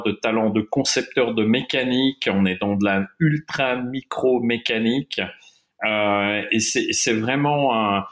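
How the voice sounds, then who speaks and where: A man's voice: mid-range voice, a bit bright. A middle-aged man from Switzerland whose first language is German, a watch-industry guest talking in an interview with many fillers and restarts. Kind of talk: interview conversation.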